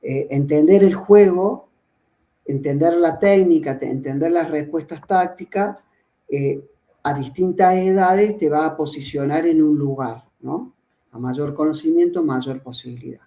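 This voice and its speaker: A man's voice: a little high, measured pace, warm tone. A middle-aged man from Córdoba with an Argentine accent, a football coach explaining his ideas as a podcast guest with many fillers and restarts. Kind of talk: podcast interview conversation. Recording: roomy echo.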